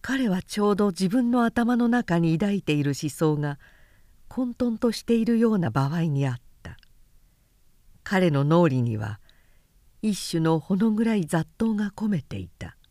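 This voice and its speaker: A woman narrating a literary novel in audiobook style, with measured, deliberate phrasing and pauses between phrases. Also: on the low side, animated, dark tone, no room sound, clean studio sound. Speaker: narrator reading a novel aloud.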